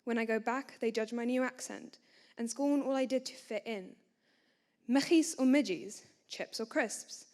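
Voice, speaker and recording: A woman from South Africa reciting her own poem calmly at a student event. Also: a little high, unhurried. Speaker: student poet.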